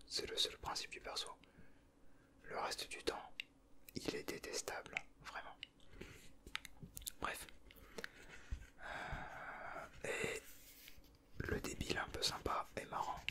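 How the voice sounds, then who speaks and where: A man giving casual, teasing ASMR commentary in a breathy whisper, close to the microphone. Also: takes it slowly, long pauses. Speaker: ASMR video creator.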